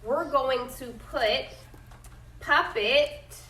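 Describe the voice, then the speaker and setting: A woman's voice: sits high, extremely slow, sharp tone. A woman teacher reading a picture book aloud to her class, stopping often to ask questions and check understanding with "okay?". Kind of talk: classroom read-aloud lesson. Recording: echoey classroom from a distance.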